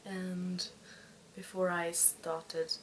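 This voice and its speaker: A Swedish woman in her twenties hosting a podcast in casual chat, speaking with a Swedish accent and pausing often to find her words. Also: fairly low pitch, flat delivery, slight background noise, low-quality recording. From Sweden. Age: 20s. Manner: hesitant, searching for words. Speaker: podcast host.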